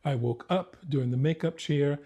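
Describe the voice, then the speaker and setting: American guy, kinda husky voice, chatty, laughs a lot telling stories. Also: a little high, normal speed, warm tone. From United States. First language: English. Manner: vivid, laughing anecdotes with restarts. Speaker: guest, former actor. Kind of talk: casual storytelling interview.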